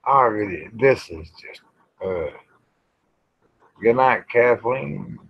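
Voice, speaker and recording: A man rambles casually to livestream viewers in a folksy, grumbling way, pausing often. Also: fairly low pitch, lively intonation, gravelly, breathy edge, no room sound. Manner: folksy, grumbling, drawn-out with pauses. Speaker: livestream host. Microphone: small microphone near the face. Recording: dull, muffled livestream audio.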